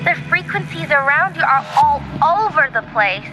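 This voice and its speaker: A woman's voice: sits high, expressive, normal speed, background noise. A woman playing a quirky young tech-savvy helper in a video game, chirpy and informal in the way of talking. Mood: perky, apologetic. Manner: chirpy, informal, colourful phrasing. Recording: music clearly behind the voice.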